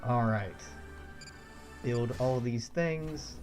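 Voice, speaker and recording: A man's voice: medium pitch, very slow, music in the background. A young man from the United States casually thinking aloud while streaming a strategy game, chuckling often. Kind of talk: casual let's-play commentary.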